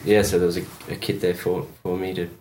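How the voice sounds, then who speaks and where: A man's voice: low voice, little pitch movement, fast, a little mellow, slight background noise. A man from Australia, partly raised in England, casually recounting memories as an interview guest. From born in Perth, Australia, partly raised in England. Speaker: interview guest, touring drummer.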